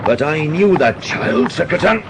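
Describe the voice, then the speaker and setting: An actor with a Welsh accent playing a weary, frustrated country doctor, grave and earnest, in a radio drama. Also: male voice, fairly high pitch, big pitch swings, unhurried, background noise.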